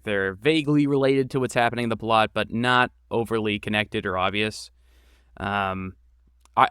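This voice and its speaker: A man speaks in a casual, explanatory way as a podcast guest, thinking aloud. His voice is smooth and clear at a medium pitch, recorded close and dry. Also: fairly bright tone, no room sound. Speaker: podcast guest, game creator and voice actor. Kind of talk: casual interview conversation.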